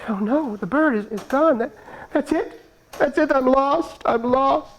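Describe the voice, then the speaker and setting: A man's voice: extremely high pitch, measured pace, slight background noise, voice pushed up, rough recording. A man playing Jack, a young man who keeps getting lost, wailing in melodramatic despair.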